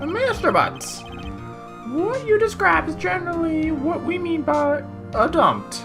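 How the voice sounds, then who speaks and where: A woman's voice: very high voice, big pitch swings, slow, music in the background, voice pushed up, exaggerated voice. A woman voicing a fussy, smug male prosecutor in a video game trial, with affected, pedantic delivery. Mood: smug, needling.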